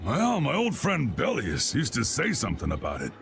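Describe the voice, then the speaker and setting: Game role: gruff old American chieftain, gravelly, folksy and commanding, very animated pitch. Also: male voice, moderate pace, noisy background. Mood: gruff, stern. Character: blustery, hearty old male guild boss spoiling for a fight. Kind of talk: video game voice acting.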